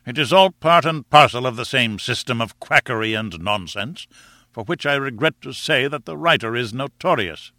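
A man's voice: mid-range voice, animated, normal speed, pushed and bright, dry recording, clean studio sound. A man voicing a bitter, sceptical elderly professor with a formal, indignant manner, in a storybook reading. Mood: bitter, scornful.

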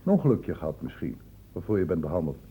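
A man playing a shrewd senior doctor in a Dutch radio play, brisk and probing, with a condescendingly paternal authority. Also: low voice, very animated, dark tone. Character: shrewd senior male doctor questioning a student, brisk, fatherly. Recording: thin phone-like old radio recording, hissy.

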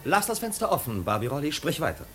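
A man's voice: a little high, very animated, quick pace, fairly bright tone. An actor playing an imperious, impatient nobleman giving curt orders to a servant in a 1950s radio play. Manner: commanding, curt, threatening. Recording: 1950s radio recording, faint music behind.

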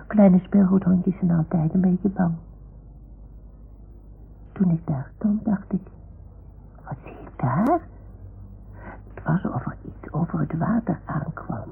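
A woman playing a small, timid toy dog who tells stories in a childlike, hushed way in a radio play. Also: fairly low pitch, full character voice. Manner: childlike, breathy hushed storytelling. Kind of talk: 1960s-70s radio play acting. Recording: muffled, hissy old radio recording.

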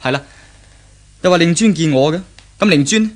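Radio drama: actor for a polite, reserved young fiancé, wary and earnest, Hong Kong Cantonese accent. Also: male voice, on the high side, animated, brisk, close to an everyday voice. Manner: formal, guarded, earnest. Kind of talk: 1970s radio drama acting.

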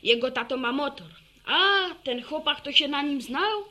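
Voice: high voice, normal speed, sharp tone, slight background noise. A woman playing an enthusiastic, know-it-all village boy who lectures on technical detail in a radio drama. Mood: lively, boastful. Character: eager motorbike-mad village boy, chatty know-it-all. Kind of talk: Polish radio drama acting.

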